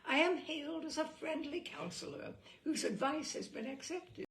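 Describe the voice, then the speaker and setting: A woman's voice: high-pitched, normal speed, reverberant, a little hiss or hum. A woman reading humorous essays aloud with dry, wry expressiveness. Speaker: reader.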